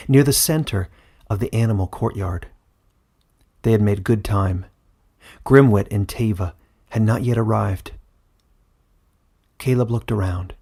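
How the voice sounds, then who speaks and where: A man reads a fantasy story aloud in a measured storytelling style. His voice sits fairly low and has a husky rasp with plenty of creak. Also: a little mellow. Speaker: author and audiobook narrator. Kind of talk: scripted fantasy story narration.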